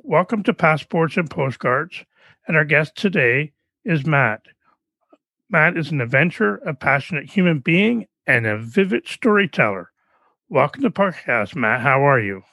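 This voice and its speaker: A man's voice: fairly high pitch, expressive, moderate pace, sharp tone, dry recording. Male podcast host, easygoing, rambling delivery. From Canada. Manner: rambling, friendly, with restarts. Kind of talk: casual interview chat.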